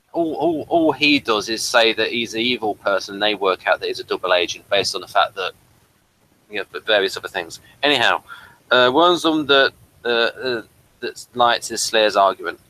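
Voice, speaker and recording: A man's voice: lowish voice, bright, forceful tone, poor audio. A man hosting a casual review chat, rambling with self-conscious little asides. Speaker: podcast host reading an episode summary and discussing it.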